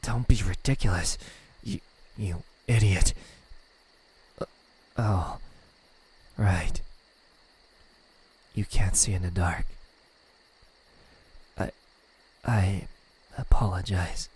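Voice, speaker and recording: Here is a man acting as a lonely immortal demon in an ASMR roleplay. His voice is low and raspy, and he speaks very slowly. He is menacing and condescending, yet halting, with a tender side underneath. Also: darkish tone, heavily acted, rough recording. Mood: flustered, shy. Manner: slow, halting, condescending speech with stammers and sighs. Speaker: voice actor.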